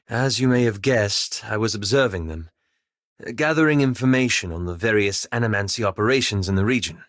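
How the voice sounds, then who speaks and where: A man playing a polite, anxious, scholarly elven wizard in a video game, speaking with formal, measured, courteous diction. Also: fairly low pitch, a bit bright, no room sound, studio quality, natural voice. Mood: earnest, thoughtful. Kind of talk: video game character dialogue.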